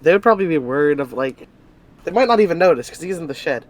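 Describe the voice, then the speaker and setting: A man's voice: a little high, animated, fast. A man playing a tabletop roleplaying game, talking casually about the story.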